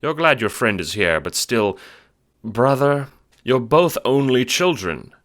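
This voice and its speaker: A man's voice: medium pitch, big pitch swings, measured pace, a bit bright. A man narrating a scripted audio drama in second person, with a wry, dramatic storytelling manner.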